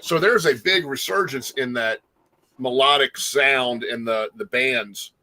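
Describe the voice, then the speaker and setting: Bright-voiced man hosting a casual interview. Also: medium pitch, a little slow. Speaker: talk show host interviewing a musician. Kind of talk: casual music interview chat.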